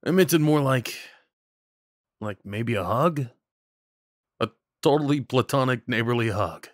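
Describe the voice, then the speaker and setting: A man acting a kind, slightly awkward neighbor in an audio roleplay, talking in a gently concerned, hesitant, conversational way. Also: mid-range voice, animated, a little slow, dry recording, studio quality, close to an everyday voice. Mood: gentle, awkward. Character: kind, awkward, caring male neighbor comforting someone. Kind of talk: ASMR roleplay, soft one-sided dialogue acting.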